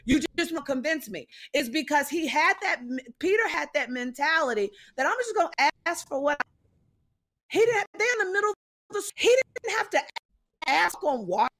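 A woman's voice: sits high, expressive, normal speed, a bit bright. A woman guest telling a Bible story with preacher-like energy over a video call. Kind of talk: casual faith talk. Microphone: remote video call.

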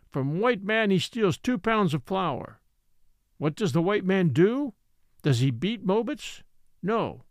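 Guy doing an old native storyteller, resigned and scornful, broken english, pretty high voice with lots of swing. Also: no room sound, clean studio sound, full character voice. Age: older. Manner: simple broken English, slow rhetorical questions.

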